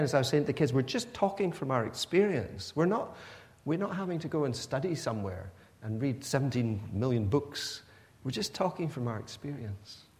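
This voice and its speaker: A man's voice: mid-range voice, darkish tone, big-room sound. A man born in Pakistan to missionary parents, preaching in an earnest, conversational way with frequent restarts. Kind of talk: sermon.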